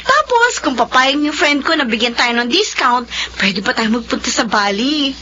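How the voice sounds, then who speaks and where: An actress playing a hardworking company officer and daughter in an expressive radio drama style. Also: female voice, high-pitched, moderate pace, a little hiss or hum. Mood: excited. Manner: expressive, code-switching between Filipino and English. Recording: muffled radio drama with music bed.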